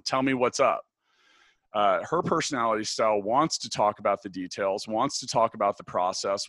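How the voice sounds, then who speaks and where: A man with a big, deep voice answering interview questions thoughtfully, restarting his sentences often. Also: fairly bright tone, no room sound. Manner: thoughtful, many restarts and fillers. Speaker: podcast guest, business founder.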